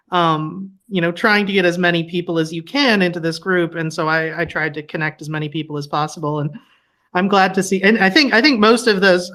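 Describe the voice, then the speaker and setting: A woman's voice: on the low side, fairly bright tone. A woman chatting casually on a live audio chat, rambling with restarts and giggling.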